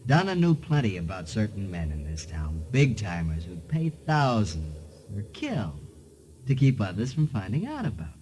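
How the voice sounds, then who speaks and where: A man's voice: lowish voice, huge pitch swings, moderate pace, darkish tone. An actor playing a bitter, scheming American man with an intense, dramatic delivery in a noir radio drama. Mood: bitter, confessing. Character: desperate male murderer confessing, bitter and scheming. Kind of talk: 1950s noir radio acting. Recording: noisy vintage radio recording.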